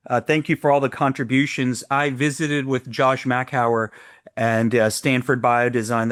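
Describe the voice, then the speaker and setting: American guy hosting a podcast, mid-pitched voice, informal and effusive. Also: fairly bright tone. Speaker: podcast host. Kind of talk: informal interview conversation.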